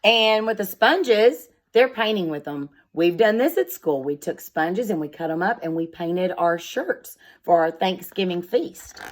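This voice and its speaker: A woman's voice: on the low side, lively intonation, unhurried, few pauses. A woman speaking as a schoolteacher, reading aloud and explaining things in simple, instructive words addressed directly to young children.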